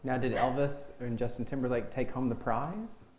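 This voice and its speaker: A man hosting a chat show, speaking in a polite, measured way. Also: medium pitch, a little mellow, slight background noise. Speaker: interview host. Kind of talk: hosted studio chat. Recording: echoey room.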